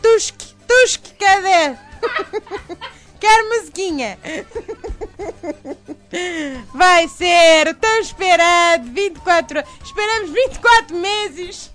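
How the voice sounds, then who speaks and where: A woman's voice: very high voice, takes it slowly, long pauses, fairly bright tone, soft background music, full character voice. A woman putting on a European Portuguese accent as a comic announcer for the next episode, playful and giggly. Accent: European Portuguese (put on). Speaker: recurring segment announcer. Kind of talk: comic recorded segment.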